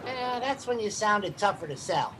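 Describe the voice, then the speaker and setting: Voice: mid-range voice, expressive, moderate pace, a loud crowd, very noisy, natural voice. An actor playing a cunning young boy who peddles with earnest, wheedling patter in a radio comedy. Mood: cheeky.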